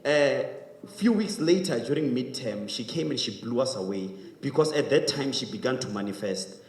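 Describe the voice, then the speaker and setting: South African man orating in an echoey hall. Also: mid-range voice. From South Africa, Johannesburg. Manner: emphatic, rhetorical, repeats questions. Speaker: public speaking class graduate giving a speech. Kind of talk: formal public speech, oratory. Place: reverberant hall at a community graduation event. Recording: echoey hall, distant microphone.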